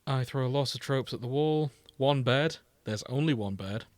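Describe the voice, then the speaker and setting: A man with a mid-pitched voice co-hosts a casual comedy podcast. He banters with mock indignation, and the sound is dry, with very little room in it. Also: moderate pace, dry recording. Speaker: podcast co-host. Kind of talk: casual comedic chat, reading out fan fiction tags.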